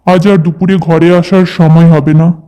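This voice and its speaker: A man's voice: fairly high pitch, little pitch movement, unhurried, warm tone, clean tone, natural voice. A man voicing a poor, hardworking village farmer and father in a cartoon story. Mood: busy, matter-of-fact.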